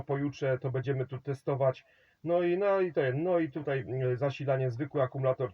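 A man's voice: mid-range voice, no echo, muffled recording. A man working a CB radio contact, rambling about his trailer setup, giving signal reports and handing over the microphone. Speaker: CB radio operator.

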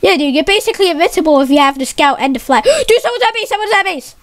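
A woman giving excited live commentary on a game she is playing with a friend, repeating her warnings and saying "dude" again and again. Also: extremely high pitch, fast. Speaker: YouTube gamer.

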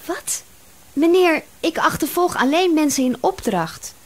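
A woman's voice: high voice, expressive, a little slow. An actress playing a flustered, chatty woman detective of about thirty in a Dutch radio drama. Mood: indignant. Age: young adult. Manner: chatty, apologetic, exclaiming. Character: flustered, chatty female private detective around thirty, indignant under pressure.

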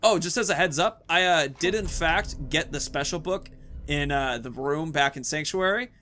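A man's voice: fairly high pitch, normal speed, pushed and bright, a little hiss or hum. A man in his thirties giving casual, chatty let's-play commentary, thinking aloud as he plays. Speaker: gaming video host. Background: video game sounds.

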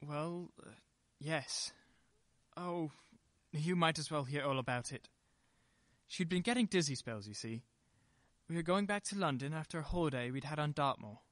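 An actor playing an evasive husband in a radio play, theatrical and patronizing, smooth but quick to get irritable. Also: male voice, fairly high pitch, lively intonation, a bit bright, no room sound. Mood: subdued, pained.

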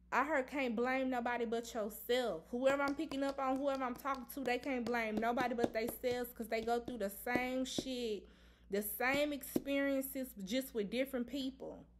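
A woman with a slightly high voice reads tarot cards at a table in a room at home. She speaks bluntly and with emphasis, and she repeats herself as she drives each point home. She swears casually, the way she would in everyday talk. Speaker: tarot reader. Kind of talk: casual tarot reading to camera.

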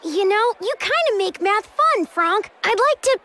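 A performer playing an excitable, grumbling young schoolboy gamer in a cartoon video game, with a whiny drawl. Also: extremely high pitch, unhurried, exaggerated voice. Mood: triumphant, cheerful.

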